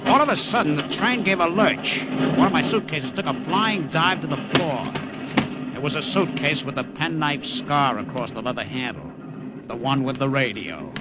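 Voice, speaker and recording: An actor playing a secret agent, narrating in the first person in a 1940s radio drama, wry and stammering when nervous. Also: male voice, on the high side, expressive, moderate pace, heavy background noise, close to an everyday voice. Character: American secret agent posing as an Italian salesman.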